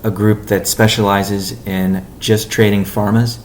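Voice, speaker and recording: A man giving a company update on a conference call as its chief executive, speaking in a measured, halting way with deliberate pauses. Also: lowish voice, level tone, a little hiss or hum. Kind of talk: business conference call presentation.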